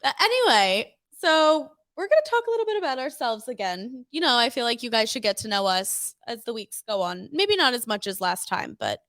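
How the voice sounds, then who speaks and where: Chatty young woman, high voice. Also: fairly quick, hardly any pauses, no room sound, studio quality. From New Jersey. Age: 20s. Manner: chatty, animated, self-mocking. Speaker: co-host. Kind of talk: casual chat.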